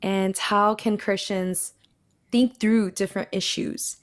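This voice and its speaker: A woman's voice: medium pitch, fairly even intonation, takes it slowly, no echo. Playful woman podcast host, dry sound. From Pacific Northwest, US. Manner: laughs often, playful. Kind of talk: casual podcast chat.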